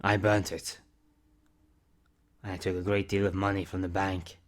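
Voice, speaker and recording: A man playing an older reclusive character in an amateur audio drama, calm, firm and fatherly, speaking in terse, measured phrases. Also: sits low, natural voice. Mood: quiet, confiding.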